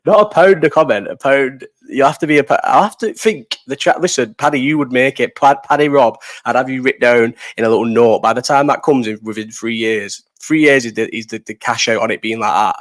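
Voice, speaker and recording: A man's voice: medium pitch, brisk, hardly any pauses. A young man hosting a casual live chat, reading out comments, rambling, swearing and laughing often. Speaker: livestream host.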